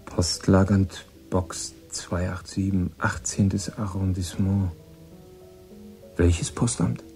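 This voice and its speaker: A man's voice: low voice, flat delivery, takes it slowly, dark tone, faint music behind. An actor playing a polite, guarded eighteen-year-old American boy in a radio play, speaking with reserved hesitation. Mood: curious.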